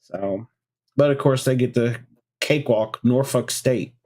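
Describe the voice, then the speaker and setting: A man's voice: mid-range voice, a little slow, a little mellow. A man co-hosting a casual chat show, rambling about college basketball while getting over a chest cold. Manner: rambling, repeats filler words. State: congested from a cold. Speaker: co-host.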